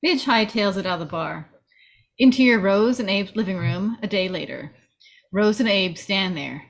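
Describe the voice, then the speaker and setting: Lady reading out script directions at a table read, normal pitch. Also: normal speed, creaky. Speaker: stage directions reader.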